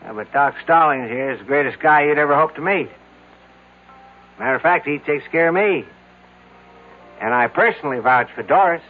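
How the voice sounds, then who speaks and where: A courteous, easygoing small-town sheriff, played by a man in a vintage radio play. His voice is on the high side, with a polite, folksy manner, heard through a tinny recording with faint music. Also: lively intonation, normal speed, long pauses, a little hiss or hum, lightly acted. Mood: polite, reassuring. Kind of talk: old-time radio drama acting.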